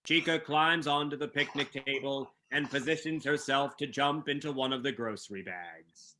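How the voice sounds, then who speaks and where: A man's voice: medium pitch, normal speed, sharp tone. A man narrating stage directions from a script at a staged reading.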